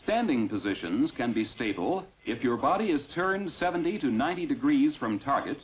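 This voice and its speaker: A man reading scripted instructional narration in a measured, clearly enunciated way, on an old film soundtrack. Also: mid-range voice, very animated. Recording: tinny, band-limited vintage film soundtrack.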